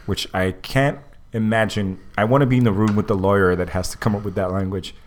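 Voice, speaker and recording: A man's voice: lowish voice, fairly even intonation, fairly quick. A man hosting a casual podcast, reading news aloud with wry asides and frequent laughter. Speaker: podcast host.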